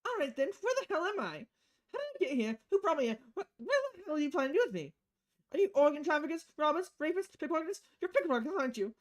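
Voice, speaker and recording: A woman acts out a panicked woman in a visual novel game, her voice pitched high and loud. She is paranoid and accusatory, tripping over her words in a frantic rush of suspicion. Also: sing-song, fast talker. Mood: suspicious, demanding.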